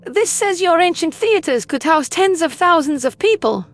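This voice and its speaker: Timid, very high alien child. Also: male voice, animated, normal speed, a bit bright, faint music behind, heavily acted. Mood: timid wonder. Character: timid young alien creature, childlike and wide-eyed.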